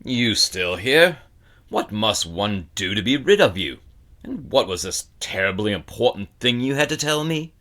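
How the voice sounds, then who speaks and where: A man voicing a gruff, grumbling older shop owner who dislikes children, in a storybook reading. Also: lowish voice, sing-song, measured pace, bright, forceful tone, full character voice. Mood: scornful, irritated. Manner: growling, grumbling, brusque and scornful. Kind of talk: audiobook character acting.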